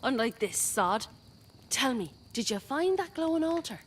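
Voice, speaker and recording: Cocky, high-voiced rogue. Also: female voice, sing-song, moderate pace, slight background noise. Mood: teasing. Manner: sly, breezy, slangy. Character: cocky, roguish female pirate-scout out for profit. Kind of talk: video game character dialogue.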